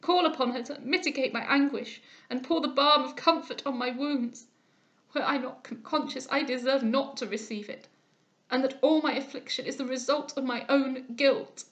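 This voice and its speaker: A woman's voice: high-pitched, normal speed, fairly bright tone. A woman reading aloud, voicing a remorseful aristocratic father with impassioned, declamatory outbursts. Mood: anguished. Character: remorseful aristocratic father, older man, anguished.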